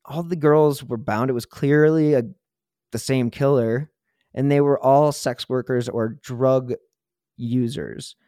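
A man with a medium-pitched voice speaks as a podcast host in conversation. He is earnest, colloquial and ready with his opinions. The sound is dry, with very little room around the voice. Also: fairly even intonation, slow pace, dark tone, dry recording. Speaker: true crime podcast host. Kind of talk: casual conversational interview talk.

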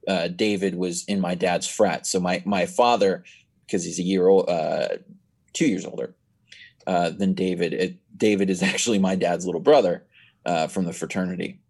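A man's voice: sits low, steady tone, normal speed, vocal fry, a little mellow, breathy edge. A nineteen-year-old man chatting as a podcast guest, telling stories in a rambling way with many restarts. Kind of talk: casual chat.

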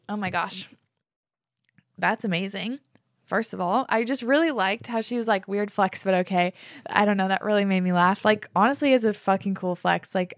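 A young woman hosts a podcast, reading listener letters aloud and then chatting about them loosely, full of "like" and the occasional swear word. Her voice has a gravelly, fry-tinged edge and sits at an everyday middle pitch. The sound is close and dry, with no room around it. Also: no room sound. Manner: reads listener messages aloud, then reacts candidly with swearing and "like" fillers. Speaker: podcast host.

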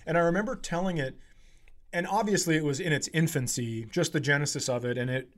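A male comedian hosting a podcast in casual comic chat, riffing in an animated, profane, self-mocking way. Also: a little high, fast.

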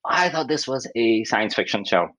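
A man's voice: medium pitch, expressive, moderate pace, a bit bright. A man in his fifties with a Pakistani accent, an academic explaining research in an interview and often acting out quotes as he goes. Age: 50s.

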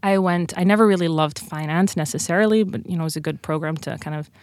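A woman's voice: on the low side, fast talker, darkish tone, no echo, clean studio sound. A woman in her thirties with a Dutch accent, chatting informally as she answers podcast interview questions and tells stories. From Netherlands. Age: 30s. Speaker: podcast guest, company co-founder.

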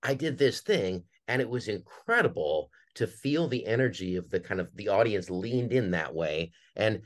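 A man's voice: lowish voice, lively intonation, moderate pace. A man speaking as an interview guest, explaining his work casually and conversationally. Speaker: guest, theater artistic director.

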